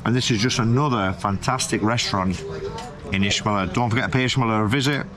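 A man's voice: lowish voice, a little fast. A man in his thirties with a British accent, reviewing food casually to camera in a chatty, slangy, emphatic way. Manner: chatty, slangy, emphatic, repeats phrases for effect. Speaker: food reviewer and vlog host. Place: open-air restaurant terrace by a road. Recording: outdoor street-side noise, passing vehicles.

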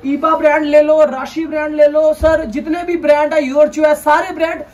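A young man, the owner of a clothing shop, making a sales pitch and insistently repeating reassurances to the camera inside his large store. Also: little pitch movement, moderate pace, a little mellow.

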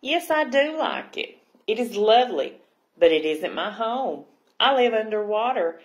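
A woman's voice: fairly high pitch, big pitch swings, unhurried. A woman voicing a tiny, polite young mermaid with a prim, precise manner in a children's storybook. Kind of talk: children's picture book read-aloud.

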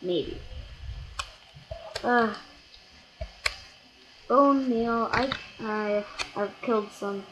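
A let's play gamer giving rambling, self-correcting commentary on a block-building game, with sudden excited outbursts, recorded at a home computer. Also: mid-range voice, very slow, pauses often, background noise. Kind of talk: casual gameplay commentary.

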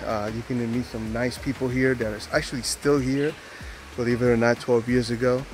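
A man's voice: mid-range voice, level tone, moderate pace. Guy in his 40s vlogging outside on a camera mic, chatty and rambly, lots of uhs, faint hiss behind. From United States. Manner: rambling, filler-heavy, friendly aside-style talk. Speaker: travel vlogger. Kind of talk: casual vlog narration to camera. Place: outdoors at a rainforest park.

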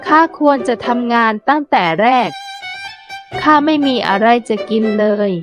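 Woman voicing a high-pitched carefree grasshopper. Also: unhurried, music in the background, natural voice. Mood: pleading, sorry. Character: carefree, playful grasshopper who loves fun. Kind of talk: children's fable voice acting.

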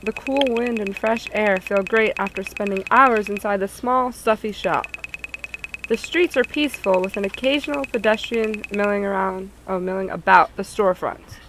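A woman's voice: medium pitch, normal speed, background noise. A woman streaming a game, chatting casually with friends and reading its story text aloud.

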